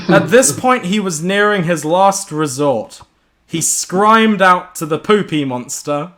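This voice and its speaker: A young man with a high, forceful voice narrates a silly horror story to his friends. He is giggly and often stumbles over his words as he reads. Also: animated, slow pace.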